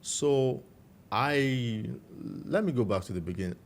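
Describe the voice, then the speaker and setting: A man with a Nigerian accent, a guest recounting his life story in a measured, reflective way. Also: medium pitch, lively intonation, slight background noise. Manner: measured, warm storytelling with frequent "you know".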